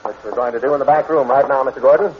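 An actor playing a brusque, sarcastic police inspector in 1940s radio drama style. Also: male voice, mid-range voice, very fast, crowd noise, close to an everyday voice. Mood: stern, sardonic. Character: gruff, sardonic male police inspector. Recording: muffled 1940s radio recording.

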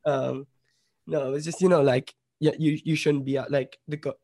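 A man's voice: on the high side, level tone, moderate pace, gravelly, warm tone, no echo. A young man from Bombay telling a story as a podcast guest, rambling and swearing, with lots of "like" fillers. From Bombay, India. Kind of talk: casual storytelling.

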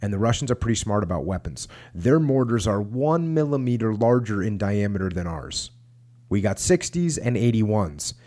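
A man's voice: fairly low pitch, expressive, moderate pace, warm tone, lightly acted. A man reading the lines of a knowledgeable enlisted Marine from a war memoir, plain and explanatory. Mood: instructive.

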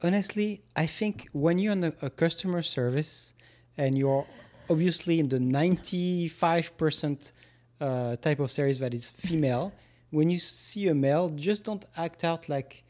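A man's voice: on the high side, normal speed, few pauses, a little mellow. A man co-hosting a casual podcast chat who talks hesitantly, with many restarts. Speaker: podcast co-host.

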